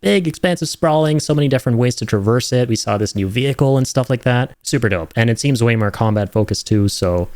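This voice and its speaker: A man's voice: medium pitch, animated, brisk, hardly any pauses, a little mellow, dry recording. A young man hosting a casual reaction, enthusiastic and rambling, with lots of fillers and "right?" tag questions. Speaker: host reacting to game trailers.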